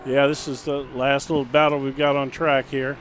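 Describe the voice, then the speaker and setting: Laid-back American co-commentator, noisy line. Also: male voice, medium pitch, level tone, moderate pace, a bit bright. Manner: laid-back, filler-heavy musing. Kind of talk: casual race commentary. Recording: noisy background.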